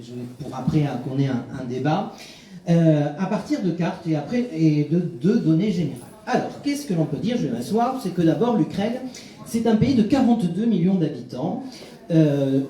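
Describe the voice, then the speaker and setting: A man's voice: a little high, mellow. Hesitant man lecturing in an echoey room. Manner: measured, frequent hesitations. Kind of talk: public lecture. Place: conference room.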